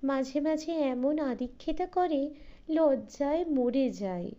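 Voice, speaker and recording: A chatty, outspoken middle-aged wife grumbling about her husband, pitched higher and louder than the narration with a mellow tone, as a woman reader puts her on. The sound is dull and lo-fi. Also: animated, takes it slowly. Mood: complaining.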